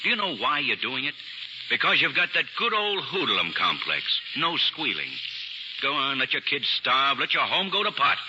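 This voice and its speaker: An actor playing a stern assistant district attorney who presses and persuades firmly, in 1940s radio acting. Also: male voice, mid-range voice, very animated, normal speed, close to an everyday voice. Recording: muffled 1940s radio broadcast with hiss.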